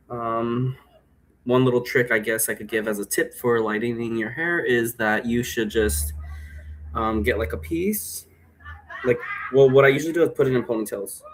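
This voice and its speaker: A man hosting a solo vlog, talking in a chatty, informal, meandering way. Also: mid-range voice. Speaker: host. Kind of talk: casual rambling solo vlog. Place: indoor room at home.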